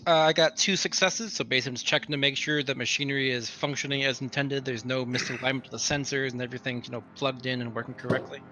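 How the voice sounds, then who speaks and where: A man's voice: mid-range voice, fairly even intonation, fast talker, hardly any pauses, noisy background. A man talking casually as a player in a tabletop roleplaying game. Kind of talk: casual in-character game chat.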